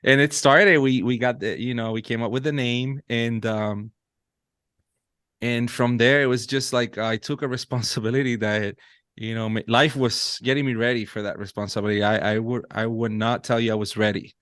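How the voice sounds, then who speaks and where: A man's voice: medium pitch, steady tone, moderate pace, a bit bright, smooth, no echo, studio quality. A man in his thirties from Puerto Rico with a Puerto Rican accent, a native Spanish speaker, chatting earnestly as a podcast guest with many restarts. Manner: earnest, frequent restarts and fillers. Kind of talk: casual interview chat.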